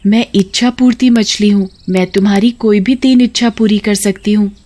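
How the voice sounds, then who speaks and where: Woman as magical female fish, storybook wish-granter, grateful, commanding phrasing, medium pitch. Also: steady tone, moderate pace, warm tone, lightly acted. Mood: gracious, reassuring.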